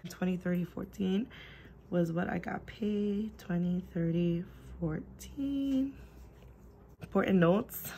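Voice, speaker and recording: A woman in her thirties hosting a casual budgeting vlog at home, bubbly and gushing, her voice slightly hoarse from a lingering cough. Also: on the low side, takes it slowly, mellow, a little hiss or hum, low-quality recording. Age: 30s. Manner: bubbly, gushing, repeats herself. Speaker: budgeting channel host. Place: at home, at a table.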